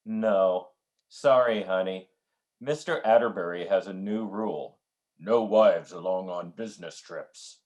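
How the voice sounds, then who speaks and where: A man's voice: low voice, a little slow. A man playing an affable but exasperated banker husband in an old-time radio comedy. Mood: matter-of-fact. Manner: animated, exasperated.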